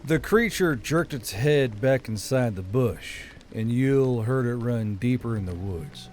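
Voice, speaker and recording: A man's voice: medium pitch, darkish tone. A man reading scripted fiction as an audiobook narrator. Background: background music.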